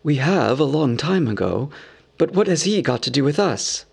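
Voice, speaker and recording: A man's voice: fairly high pitch, sing-song, normal speed, vocal fry, husky, full character voice. A man voicing a dignified, wise male Elven King in a storybook, speaking in a stately, formal way. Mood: questioning. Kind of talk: storybook character voice.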